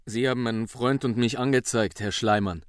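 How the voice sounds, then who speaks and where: A man's voice: medium pitch, fairly even intonation, fairly quick, dry recording, clean studio sound, natural voice. An actor playing a haunted young man in a radio drama, recounting a grim confession in a sombre, plain, restrained way. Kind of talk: radio drama monologue, recounting in past tense.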